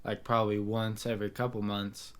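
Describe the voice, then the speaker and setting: A young man hosting a casual podcast chat, relaxed and asking his guests questions. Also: lowish voice, a little fast, clean tone. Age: young adult. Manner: easygoing, asks questions, laughs along. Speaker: podcast host.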